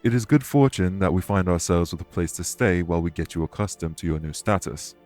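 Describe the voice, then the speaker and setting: Man acting a deep-voiced, formal ancient immortal king. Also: a little mellow, faint music behind, natural voice. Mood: courteous, reassuring. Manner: formal, measured, gravely polite. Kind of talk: immersive audio roleplay acting addressed to listener.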